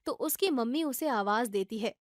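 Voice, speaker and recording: A woman narrates a children's storybook in a steady, plain way. Her voice is high, and the recording is dry and close. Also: moderate pace, no room sound.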